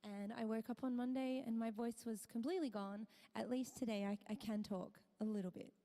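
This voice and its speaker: A woman streamer chatting casually with her viewers, her voice hoarse and tired, half whispering. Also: on the high side, fairly even intonation, a little fast, in a large hall, music in the background. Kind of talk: casual live-stream chat.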